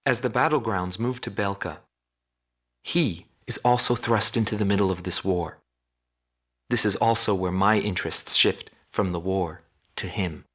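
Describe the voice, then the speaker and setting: An actor playing a journalist who narrates a war documentary in a measured, grave tone. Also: male voice, on the low side, fairly bright tone, breathy edge. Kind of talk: scripted narration.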